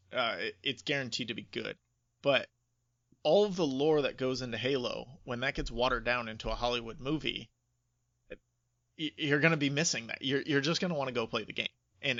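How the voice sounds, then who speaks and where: A man co-hosts a casual podcast in a bright voice with a gravelly edge. He lays out his opinions emphatically. The audio is dry and close but somewhat dull and lo-fi. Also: medium pitch. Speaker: podcast co-host.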